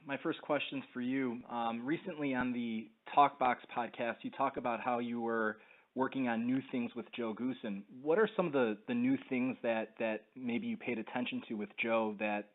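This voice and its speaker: A man's voice: mid-range voice, steady tone, moderate pace. A man with a mid-range voice asks questions as a reporter. His style is that of a press call. The audio is narrow and tinny, like a telephone connection. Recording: narrow, tinny phone line.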